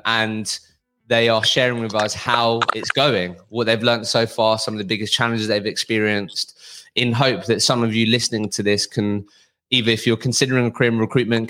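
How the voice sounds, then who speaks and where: A man's voice: on the low side, a bit bright. A man hosting an interview podcast, talking conversationally with restarts and fillers. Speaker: podcast host.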